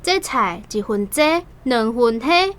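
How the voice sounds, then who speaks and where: 一位男子捏著嗓子演出憂心的農家妻子，操台語口音。. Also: male voice, very high voice, very animated, slow, fairly bright tone, pitched above the performer's own voice, full character voice. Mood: alarmed. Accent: Taiwanese Hokkien. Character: farmer's wife, worried, sharp. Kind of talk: radio drama acting.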